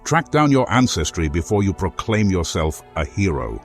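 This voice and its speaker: A man's voice: deep voice, big pitch swings, normal speed, faint music behind. A man voicing a defeated fantasy adversary in a video game, with solemn, florid, philosophical and resigned speech. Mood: solemn, challenging.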